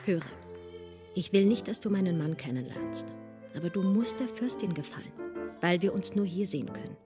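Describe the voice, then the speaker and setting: A woman's voice: on the low side, normal speed, a crowd in the background, natural voice. An actress playing an anxious, devoted married noblewoman in a radio drama, pleading intimately with a secret lover. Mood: anxious, adoring. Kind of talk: German radio drama acting. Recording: loud music behind the voice.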